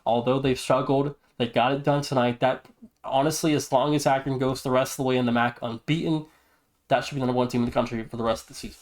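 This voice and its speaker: Male sports podcast host, medium pitch, emphatic delivery, casual news and commentary. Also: fairly even intonation, fast talker. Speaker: podcast host and league presenter.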